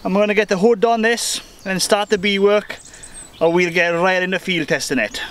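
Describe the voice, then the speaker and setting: A man's voice: high-pitched, normal speed, background noise. A young man, a beekeeper, chatting casually through a product review while standing outdoors in a rural field, with birds in the background. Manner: chatty, upbeat, thinking aloud. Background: birdsong and faint outdoor ambience.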